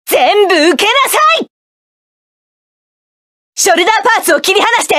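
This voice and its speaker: A fierce young mecha pilot snapping out battle commands in a very high, bright voice that swoops widely. She speaks slowly and deliberately, and the recording is dry and close. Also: expressive, no room sound. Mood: commanding. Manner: commanding, barking attack orders. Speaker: game character. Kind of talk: video game battle voice lines.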